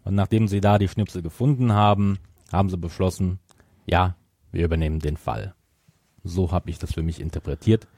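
A male podcast co-host with a lowish voice. He talks casually in a measured, conciliatory way. The sound is dry, without room echo. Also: darkish tone. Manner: conciliatory, measured, concedes points. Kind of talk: casual chat reviewing audio drama.

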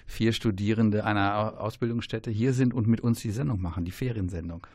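Chatty guy hosting a student radio show, kinda low voice, dead dry mic. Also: fairly even intonation, a little fast, darkish tone, dry recording. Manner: jokey, chatty, laughs often. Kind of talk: casual radio chat.

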